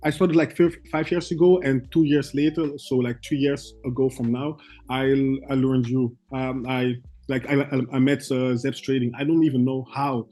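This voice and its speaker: A young man from Belgium, a guest trader, telling his story in halting English full of fillers and restarts. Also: mid-range voice, little pitch movement, mellow, faint music behind. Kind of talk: casual interview chat.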